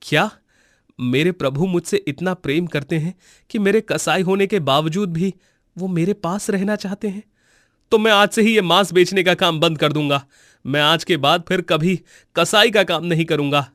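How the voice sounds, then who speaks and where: A man's voice: high-pitched, normal speed, fairly bright tone, no room sound, clean studio sound. An actor playing a humble, emotional butcher in a cartoon, earnest and reverent toward his god. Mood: moved, resolute.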